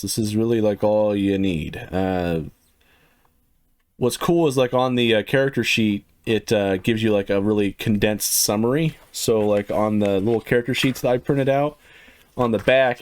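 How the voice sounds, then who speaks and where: Casting: middle-aged man, mid-pitched, casual and rambling, talks his way through a game review. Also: darkish tone. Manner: rambling, lots of fillers, laughs to himself. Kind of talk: casual first-impressions walkthrough.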